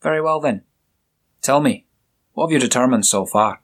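A big, good-humoured Scottish police sergeant, put on by a male storyteller. He booms out questions with lively interest and a husky grain to the voice. It sounds like an audio drama read by one man. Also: normal speed. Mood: jovial. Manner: booming, jovial, probing questions. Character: burly Scottish police sergeant, hearty and shrewd.

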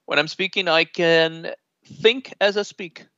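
A man from Brazil with a Brazilian Portuguese accent, a native Portuguese speaker chatting relaxed in an interview, laughing often and filling pauses with uhs. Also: fairly high pitch, no echo. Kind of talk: casual conversational interview. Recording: dull lo-fi dry sound.

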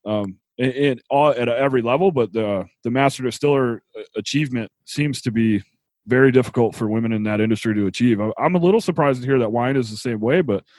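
A man of ordinary pitch leads a casual chat, eager and rambling, with frequent "like" fillers. His voice is recorded dry and close. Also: fast, a little mellow, no room sound. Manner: rambling and eager, heavy use of "like". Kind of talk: casual conversation.

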